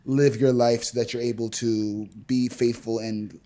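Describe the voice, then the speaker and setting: A man's voice: mid-range voice, level tone, unhurried, dark tone. American man hosting a casual interview. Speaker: podcast host, interviewer.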